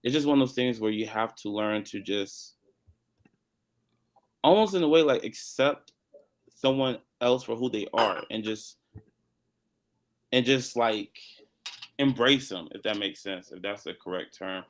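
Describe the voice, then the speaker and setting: Man, panelist, video call, casual, joking, swinging volume, lowish voice. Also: a little slow, long pauses. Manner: loose and joking, loudness swings.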